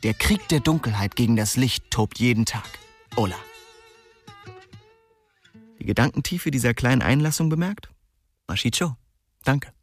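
A man's voice: medium pitch, moderate pace, pauses often, natural voice. An actor playing a cocky, restless young man who narrates his story in a slangy, streetwise radio drama style. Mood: wry, philosophical. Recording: music behind the voice.

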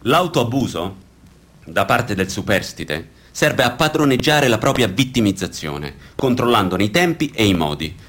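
A man's voice: fairly low pitch, sing-song, normal speed, a bit bright. A man reading scripted documentary theatre narration in a formal, serious, articulate way. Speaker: reader in a radio theatre piece.

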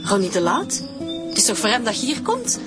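An actress playing a sharp-tongued single mother, the suspect's ex-wife, with a Flemish accent in a radio drama. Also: a little high, wildly swooping pitch, fairly quick, over loud music. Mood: scornful. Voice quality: curt, scornful.

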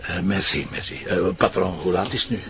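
An actor playing a middle-aged Flemish police inspector, flustered and brusque, in naturalistic, colloquial radio drama style. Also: male voice, deep voice, fairly quick. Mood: muttering, worried. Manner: colloquial, expressive. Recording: dull lo-fi radio drama with music.

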